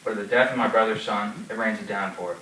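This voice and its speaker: A man's voice: fairly low pitch, fairly even intonation, fast talker, sharp tone, slight background noise. An actor playing a domineering older nobleman father who scolds and rants at his daughter. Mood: mocking. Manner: scolding, ranting. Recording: echoey room from far off, faint ambience.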